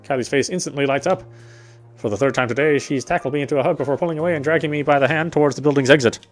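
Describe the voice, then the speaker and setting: Fast-reading man narrating a game. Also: on the high side, faint music behind. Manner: stumbles and rereads phrases. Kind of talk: reading visual novel narration aloud.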